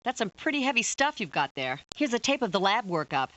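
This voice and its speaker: An actress playing a busy, brusque police technician in a video game, terse and sarcastic. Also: female voice, medium pitch, very animated, fairly quick, fairly bright tone, slight background noise. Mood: wry, cautioning. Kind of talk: scripted video game acting.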